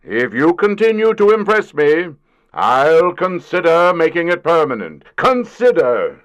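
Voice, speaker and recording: Pompous, slow, swooping office boss. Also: male voice, fairly high pitch, sing-song, muffled recording. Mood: grudging, condescending. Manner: pompous, grudging, emphatic. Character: gruff, condescending male corporate boss. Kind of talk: audio drama acting.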